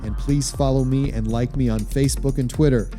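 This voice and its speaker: A man's voice: mid-range voice, steady tone, brisk, music in the background. A man hosting a podcast, speaking to a guest and to his listeners. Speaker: podcast host.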